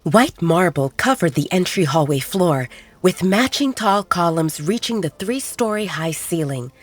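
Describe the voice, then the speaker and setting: A woman's voice: lowish voice, expressive, a little slow. A woman reading scripted dramatic narration as the narrator of an audio story. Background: background music.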